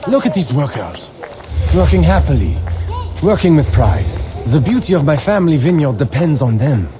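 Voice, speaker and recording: A man's voice: fairly high pitch, sing-song, normal speed. An actor playing a proud French nobleman with a French accent, expansive and rhetorical, in a game cutscene over outdoor ambient noise. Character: proud, visionary French nobleman and vineyard owner. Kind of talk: dramatic game cutscene acting.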